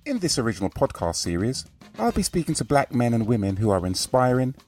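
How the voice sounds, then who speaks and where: A man hosting a podcast, reading a formal intro and then interviewing his guest in a measured, attentive way. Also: medium pitch, very animated, darkish tone, faint music behind. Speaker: podcast host.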